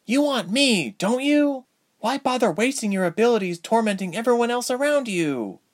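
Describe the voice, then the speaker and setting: Defiant young storybook woman, played by a man, raised pitch, animated, accusing, bitter. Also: normal speed. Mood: tersely defiant. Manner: terse, accusing.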